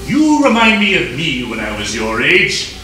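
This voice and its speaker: A man's voice: a little high, huge pitch swings, normal speed. An actor playing an older, smug and self-serving showman professor in a stage melodrama, pompous and teasing. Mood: grandiose. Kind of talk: broad stage melodrama acting. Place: theater stage, recorded from a distance. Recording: echoey stage recording from far off, music.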